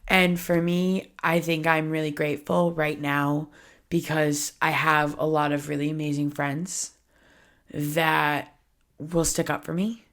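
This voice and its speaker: Chatty young woman podcaster, lowish voice. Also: level tone, unhurried, fairly bright tone. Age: early 20s. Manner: chatty, candid, laughs often, many "like" fillers. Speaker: podcast host. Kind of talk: casual solo podcast chat.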